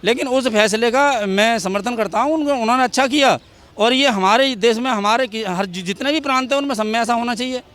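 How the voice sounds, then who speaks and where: A man's voice: very high voice, a little fast, a bit bright. A man giving his opinion in a street interview outdoors, speaking emphatically and repeating his points. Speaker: member of the public giving opinion.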